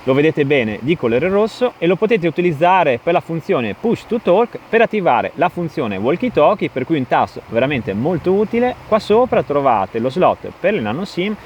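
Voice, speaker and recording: A man narrates a tech review with clear enthusiasm. His pitch swings freely and he puts emphasis on key points, sounding like an excited presenter showing off a product. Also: normal speed, hardly any pauses, muffled recording, a little hiss or hum.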